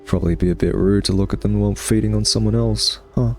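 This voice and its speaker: Man playing a low-voiced smug vampire. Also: fairly even intonation, quick pace, a little mellow, faint music behind, natural voice. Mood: gloating, teasing. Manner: smug, teasing, drawling. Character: smug male vampire taunting his captive hunter nemesis. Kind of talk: ASMR roleplay monologue.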